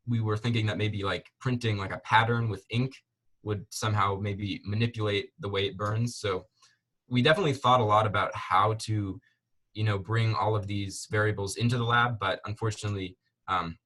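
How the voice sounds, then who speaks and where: Young man, student presenter, slightly low voice, explanatory delivery. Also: fairly even intonation, normal speed, fairly bright tone, poor audio. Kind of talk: explanatory presentation and answering questions.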